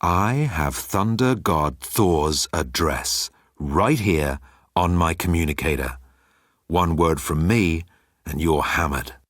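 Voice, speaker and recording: A man's voice: low voice, slow, no echo, clean studio sound. A man voicing a boastful, easily offended alien rogue with a pompous manner. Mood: indignant.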